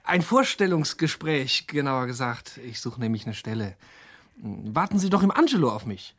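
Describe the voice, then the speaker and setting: An actor in a radio play as an evasive, smooth-talking young American impostor, polite and hesitant as he improvises excuses. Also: medium pitch, big pitch swings, moderate pace. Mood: flustered, cheerful.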